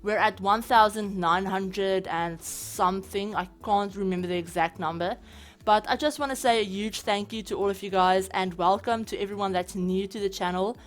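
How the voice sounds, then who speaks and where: A woman's voice: mid-range voice, fairly even intonation, normal speed, soft background music. Chatty South African woman narrating. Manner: chatty and rambling, many fillers like "like" and "yeah". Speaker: game building channel host. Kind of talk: casual voice-over commentary.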